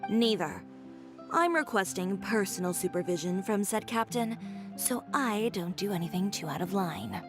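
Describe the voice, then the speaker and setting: An actress playing a blunt, cool-headed boutique owner in a game, curt, confident and wry. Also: female voice, medium pitch, expressive, normal speed, close to an everyday voice. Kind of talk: game cutscene voice acting. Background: game music.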